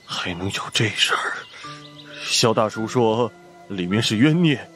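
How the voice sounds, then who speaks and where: Man playing low-voiced, folksy village chief. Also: expressive, unhurried, a bit bright, soft background music. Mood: puzzled, worried. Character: practical male village chief, concerned and folksy.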